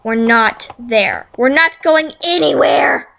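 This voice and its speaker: A woman's voice: high-pitched, slow pace, vocal fry, a bit bright, full character voice. A woman voicing a sensible but easily exasperated young female pony in a fan story, speaking plainly and earnestly.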